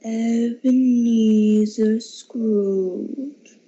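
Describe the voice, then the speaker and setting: A teenage actress playing a calm, solemn, otherworldly spirit in a radio drama, with a breathy, creaky voice. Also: female voice, a little high, extremely slow. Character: calm, solemn, otherworldly ghost of Christmas past. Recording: dull lo-fi amateur recording.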